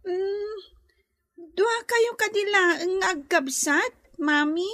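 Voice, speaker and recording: A woman's voice: very high-pitched, slow, a bit bright, no echo, clean studio sound, full character voice. A woman voicing a sweet, curious young daughter in an Ilocano radio drama. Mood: sweet, obedient.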